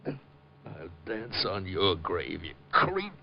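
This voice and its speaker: An actor playing a cowardly wounded gangster who gasps and stammers in rough slang, in old radio crime drama style. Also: male voice, low-pitched, wildly swooping pitch, extremely slow, slight background noise, heavily acted. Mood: defiant, snarling. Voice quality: gasping, laboured breath. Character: dying cowardly wounded gangster, father, desperate and pleading. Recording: dull old 1950 radio broadcast.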